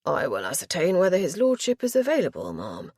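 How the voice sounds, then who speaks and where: Woman doing a deep-voiced calm butler. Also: fairly quick, breathy edge, no room sound, studio quality, exaggerated voice. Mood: placating. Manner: deep, hushed, soothing. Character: calm, formal older male butler.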